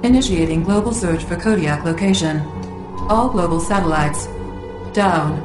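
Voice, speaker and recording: Game military computer, woman performer: calm, low-pitched female announcer, emotionless and clipped, with clear echo. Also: normal speed, music in the background, lightly acted. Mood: impassive. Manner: clipped, emotionless, formal status reports. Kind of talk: scripted game cutscene announcement.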